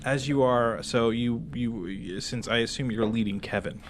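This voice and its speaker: A man acting as game master, narrating a tabletop game casually and haltingly, with informal pauses. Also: mid-range voice, a little hiss or hum.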